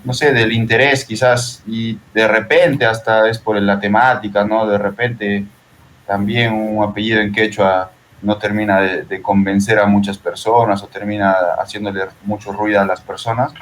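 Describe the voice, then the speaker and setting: A Peruvian man speaks in a fairly low voice as a guest in a casual interview. He is reflective and often seeks agreement as he goes. There is faint noise behind him on the live-stream audio. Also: level tone, moderate pace, a bit bright. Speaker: interview guest, poet and translator.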